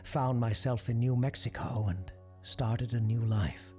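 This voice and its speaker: A man voicing a regretful woman giving halting, confessional testimony about her past in an audio drama. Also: medium pitch, steady tone, dark tone, soft background music, pitched above the performer's own voice, natural voice. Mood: wistful. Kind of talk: quoted testimony within audio drama narration.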